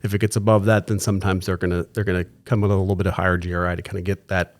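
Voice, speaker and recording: A man's voice: sits low, level tone, rapid-fire. A man speaking as a podcast guest, explaining business practice with frequent restarts and tag questions like "right?". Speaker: client services executive, guest.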